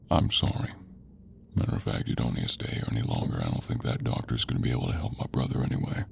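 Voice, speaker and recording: Man acting a very deep, gravelly monster hunter. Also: slight background noise, heavily acted. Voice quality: gravelly, very low, creaky. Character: gruff male monster hunter, stern, protective, darkly tender. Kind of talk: audio roleplay acting addressed to the listener.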